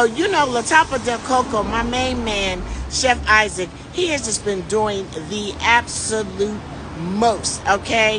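A woman's voice: mid-range voice, noisy background. A middle-aged woman hosting a casual live selfie video outdoors on a city street, speaking enthusiastically and calling her viewers y'all, recorded on a phone held at arm's length. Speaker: vlog host and tour organizer. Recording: music playing clearly behind voice. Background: music.

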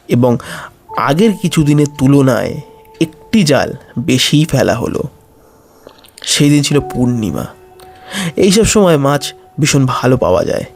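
A man's voice: medium pitch, creaky, darkish tone. A man narrating a scripted horror story in a suspenseful style. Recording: music bed under voice. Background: music.

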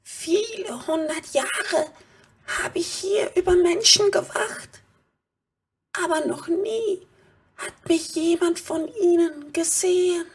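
A woman voicing a tiny ancient gnome in a children's audio play, gently murmuring with patient, whispery slowness. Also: very high voice, recorded from a distance, exaggerated voice. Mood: wistful. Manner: slow, soothing murmur.

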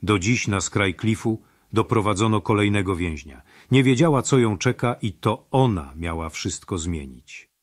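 A man's voice: on the low side, lively intonation, normal speed, no echo, studio quality, lightly acted. A man playing a powerful mage who narrates the history of a kingdom in an audio drama. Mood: solemn. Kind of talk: scripted fantasy prologue narration.

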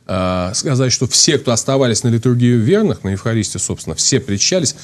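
Fast-talking priest on a studio talk show. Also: male voice, medium pitch, expressive. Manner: fast, thinking aloud with many restarts and fillers. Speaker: priest guest. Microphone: lapel.

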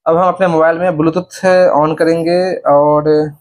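A man's voice: a little high, level tone, takes it slowly. A young man, explaining step by step to camera in a casual vlog style. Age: young adult. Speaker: vlogger. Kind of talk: casual product installation demo to camera. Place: indoors in a small bedroom.

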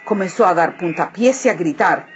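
A woman narrating a children's story expressively, with deliberate, dramatic phrasing. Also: on the low side, expressive. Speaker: storybook reader. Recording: muffled audio with music behind.

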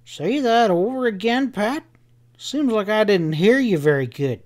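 A man voicing a stubborn, excitable cowboy in a Western audiobook, with a harsh rasp and a folksy drawl. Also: high voice, unhurried, pitched above the performer's own voice. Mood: shocked, demanding. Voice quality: harsh, rasping. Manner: rustic Western drawl, folksy bad grammar. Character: gruff cowboy friend Sam, stubborn, excitable, fiercely loyal.

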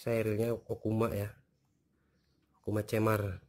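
A man giving a relaxed, chatty unboxing review of fishing gear, full of fillers and tag questions. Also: on the low side, measured pace. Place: indoors on a tiled floor.

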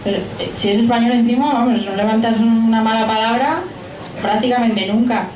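A woman's voice: on the high side, steady tone, moderate pace, a little mellow. A woman in her late twenties with a Spain Spanish accent, speaking informally at a live talk and answering questions. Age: late 20s. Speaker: guest speaker, science and tech journalist. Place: small pub event room, indoors. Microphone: handheld PA mic, picked up by a camera. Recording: echoey room, distant camera mic, faint noise.

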